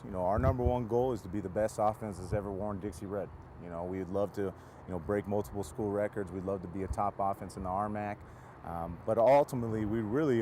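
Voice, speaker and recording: Low-voiced male football coach, noisy outdoors. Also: mellow. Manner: earnest, rambling, leans on "you know". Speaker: college football offensive coach. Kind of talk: casual interview answers. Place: outdoors near the football stadium. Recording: noisy outdoor setting, traffic rumble.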